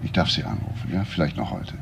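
A man playing a courteous, probing homicide detective in a crime drama, speaking in a measured, polite way. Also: noisy background, natural voice. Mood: calm, measured. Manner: measured, polite, hesitant at times. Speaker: actor. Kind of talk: German TV crime drama dialogue.

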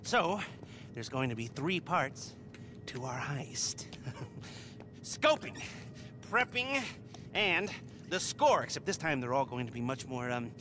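A man's voice: medium pitch, very animated, takes it slowly, pauses often, noisy background. An actor playing a nervous, awkward middle-aged hacker in a video game cutscene, stammering and fumbling his words. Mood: explaining. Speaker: heist planner character.

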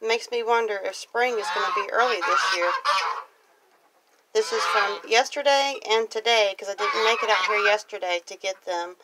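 A woman's voice: medium pitch, moderate pace. A woman from Louisiana talking casually and reflectively in a plain-spoken, rambling way, like a homestead vlogger on a walk. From Louisiana, United States. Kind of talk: casual walk-and-talk monologue. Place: outdoors on a grassy farmyard. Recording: outdoor noise, farm animal sounds.

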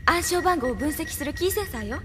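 An actress playing an earnest, capable young resistance operative in an anime audio drama, speaking persuasively. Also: female voice, sits high, animated, fast, big-room sound, over music. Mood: assured.

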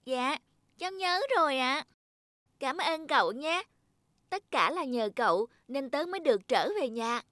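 High-pitched, childlike cartoon object. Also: female voice, measured pace, dry recording, studio quality. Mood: polite, friendly. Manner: earnest, expressive, childlike. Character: childlike talking cup and flashlight, eager, easily frightened young friends. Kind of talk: dubbed children's cartoon dialogue.

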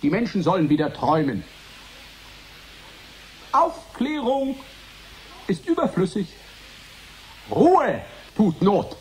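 Eindringlicher Redner, sehr bewegte Stimmlage. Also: male voice, high-pitched, wildly swooping pitch, slow pace, pauses often, a little hiss or hum, full character voice. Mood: emphatic. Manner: emphatic, rhetorical, repeating phrases. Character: male painter giving a provocative public speech, insistent. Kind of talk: declamatory radio drama oratory.

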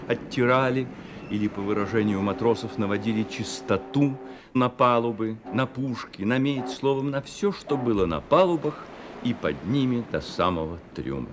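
A man narrating a literary story for a Soviet-era radio play, measured and expressive, with deliberate pauses. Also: medium pitch, noisy background. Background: music.

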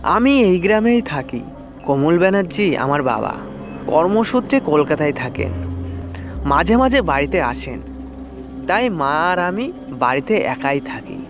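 A man with a high voice narrates a scripted ghost story in the first person. He speaks as a storyteller for an audio horror channel. Music plays clearly under his voice. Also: sing-song, measured pace, pauses often.

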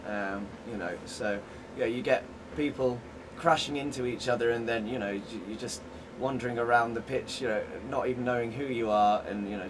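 A young man rambles casually through interview answers, padding them with filler phrases. He sits a little way from the mic, outdoors, with clear background noise. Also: mid-range voice. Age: 20s. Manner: many "you know"s, rambling. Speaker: blind football player being interviewed. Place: outdoors in front of bushes. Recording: slightly distant, noisy outdoor recording.

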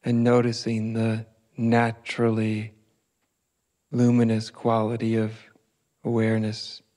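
A man leading a guided meditation as a teacher, speaking gently in short, spaced phrases with long pauses between them. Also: fairly low pitch, monotone.

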